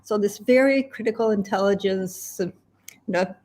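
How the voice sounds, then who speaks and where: A woman from Sweden, talking as a guest on a video call. She speaks at a middling pitch and often restarts her sentences, with soft laughs in between. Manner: halting, many restarts and little laughs. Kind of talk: casual interview chat.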